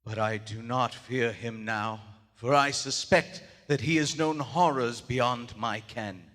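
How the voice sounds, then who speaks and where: Haunted horror writer, played by a male actor: formal archaic diction, mid-pitched, live radio theater in a big reverberant hall. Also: takes it slowly, fairly bright tone. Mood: haunted, grave. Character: haunted, shaken writer of weird fiction, a man. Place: large theater stage, live performance.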